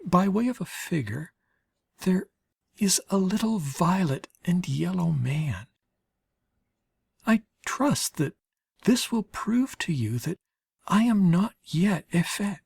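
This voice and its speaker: A woman reads literary letters in a low-pitched, very breathy and husky voice. Her delivery is slow and measured, with deliberate pauses and an expressive, widely moving intonation, as in an audiobook. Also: dry recording, studio quality. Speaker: audiobook reader. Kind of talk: scripted literary narration of letters.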